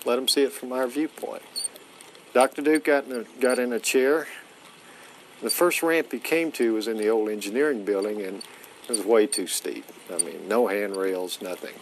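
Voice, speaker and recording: A man's voice: medium pitch, moderate pace, slight background noise. A man in his forties recounting an anecdote in an archive interview, sitting outdoors in an open vehicle.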